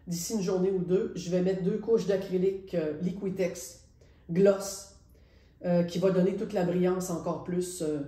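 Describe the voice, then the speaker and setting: A middle-aged woman with a Quebec French accent talks casually and reflectively about her painting, hesitating and pausing often. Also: fairly low pitch, steady tone, mellow. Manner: reflective, hesitant, with many pauses. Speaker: painter presenting her artwork. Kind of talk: casual spoken art presentation. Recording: roomy echo.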